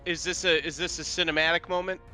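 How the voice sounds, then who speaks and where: A man's voice: fairly high pitch, little pitch movement, fast, bright, forceful tone, faint music behind. A man chatting casually as a player in a tabletop roleplaying game, describing what his character does.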